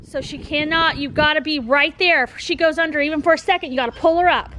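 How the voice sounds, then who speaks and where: Woman, thirties, American accent, high voice, informal rambling vlog chat, noisy home-video recording. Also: fast talker. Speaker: family vlogger and mother. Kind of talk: casual chat to camera.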